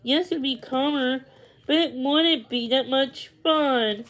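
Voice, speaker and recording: Woman w a high voice reading a kids storybook out loud, bit halting, repeats words sometimes. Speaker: storybook reader. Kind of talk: read-aloud children's story narration.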